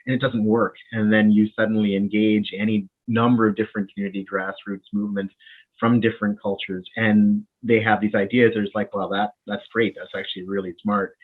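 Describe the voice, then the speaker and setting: A man with a Winnipeg accent and a fairly low voice talks as a doctor in a relaxed interview. He sounds friendly and animated, and he often repeats words as he gets going. The sound comes over a thin, tinny line, as if through a phone. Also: brisk. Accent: Canadian, Winnipeg. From Bahamas. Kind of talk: casual interview conversation.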